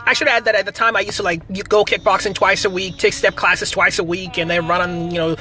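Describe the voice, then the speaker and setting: A woman in her thirties chatting casually in a family vlog, talkative and quick to laugh. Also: medium pitch, fairly even intonation, pushed and bright. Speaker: family vlogger. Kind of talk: casual chat. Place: inside a moving car. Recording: car road noise behind.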